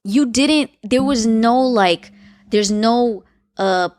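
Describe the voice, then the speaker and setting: A woman's voice: on the high side, slow pace, a little mellow, no room sound, studio quality. A woman co-hosting a podcast in chatty, casual talk with lots of fillers. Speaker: podcast co-host. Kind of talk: casual chat.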